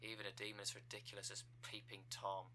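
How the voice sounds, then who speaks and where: A man's voice: on the low side, monotone, fast talker, bright, forceful tone, a little hiss or hum. A man voicing a scheming female cult recruiter in an audio roleplay, speaking with formal, businesslike politeness and dry condescension. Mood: smug.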